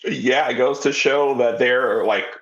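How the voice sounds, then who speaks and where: A man's voice: mid-range voice, unhurried, a bit bright. A man hosting a podcast in a casual, conversational style, on a slightly dull recording. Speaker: podcast host. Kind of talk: casual chat.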